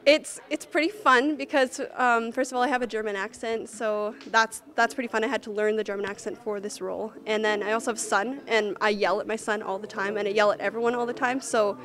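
A woman's voice: fairly high pitch, fairly even intonation, brisk, few pauses, fairly bright tone. A teenage girl who is a student actor, chatting in an interview with lots of "like", speaking into a handheld mic in a theatre rehearsal room. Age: teens. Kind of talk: casual interview answers.

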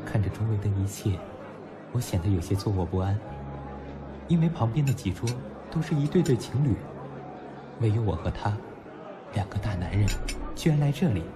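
A man performs a young male student in an audio drama, nostalgic yet proud and teasing. His low voice moves expressively between reflective narration and dialogue. Music plays clearly behind him. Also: lively intonation, normal speed, long pauses, a crowd in the background, natural voice. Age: young adult. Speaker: lead actor and first-person narrator.

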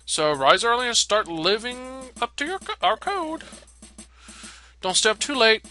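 A man voicing a fussy, officious bird who gives formal, brisk orders in a video game. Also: very high voice, big pitch swings, sharp tone, faint music behind, pitched above the performer's own voice, heavily acted. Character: fussy, officious bird second-in-command of a guild.

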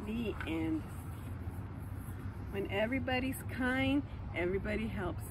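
A middle-aged woman reading a children's storybook aloud in an expressive, sing-song rhyming delivery. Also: mid-range voice, measured pace. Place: outdoor fenced lawn near a road. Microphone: camera a few metres away. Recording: outdoor noise, passing cars.

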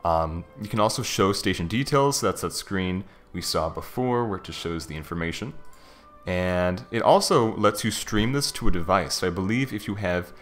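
A man giving a casual, conversational software review, informal and thinking aloud as he talks through what he shows. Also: low-pitched, animated, moderate pace. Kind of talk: casual screen-recorded software walkthrough. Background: background music.

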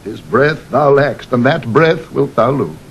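A man's voice: big pitch swings, measured pace, highs cut off. An actor playing an embittered, disapproving nobleman, with emphatic declamation in a Shakespearean audio drama. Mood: bitter, disapproving. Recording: vintage 1960 recording with faint rumble.

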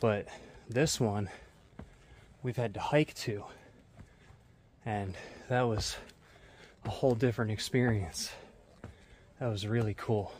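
A man in his thirties talking casually to his head-mounted camera as he hikes a dark mountain trail at night, rambling and swearing freely. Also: fairly low pitch, takes it slowly, long pauses, slight background noise. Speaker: vlogger. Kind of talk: casual vlog narration while hiking. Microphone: head-mounted action camera.

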